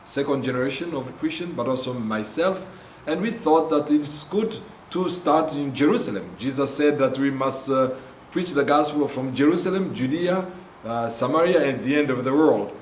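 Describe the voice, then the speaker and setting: A man from Congo with a Congolese accent, telling his ministry's story in a halting way with many pauses and fillers. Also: mid-range voice, roomy sound, slight background noise. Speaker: ministry founder. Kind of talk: earnest spoken testimony.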